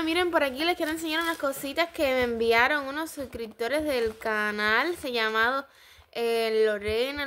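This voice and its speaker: A young woman in her twenties with a Cuban Spanish accent, chatting excitedly as she shows things to her vlog viewers on a phone camera at home. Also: sits high, slight background noise. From Cuba. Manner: chatty and excitable, quick exclamations. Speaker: vlogger. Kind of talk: casual show-and-tell vlog. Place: small home dining room, indoors.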